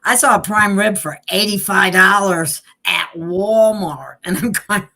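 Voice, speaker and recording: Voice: mid-range voice, a little slow, vocal fry, pushed and bright. Chatty, laughing online show host. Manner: rambling, often laughing. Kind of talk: casual online chat.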